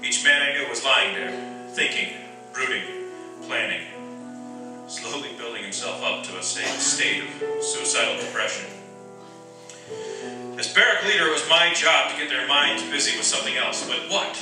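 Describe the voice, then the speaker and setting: An earnest, steady prisoner-of-war barrack leader acted by a man tells his wartime story for radio theatre. The sound is very roomy and set back from the mic, with music underneath. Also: mid-range voice, normal speed, pauses often, lightly acted. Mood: somber reflective. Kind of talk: radio drama acting and narration. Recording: echoey distant stage pickup with music.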